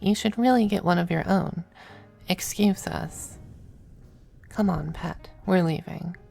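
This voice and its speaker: A woman playing a possessive, doting, androgynous male demon lover in an intimate ASMR roleplay, speaking softly and often slipping into whispers. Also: fairly low pitch, exaggerated voice. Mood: possessive, firm. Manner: soft, breathy, unhurried, often dropping to whispers. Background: faint music.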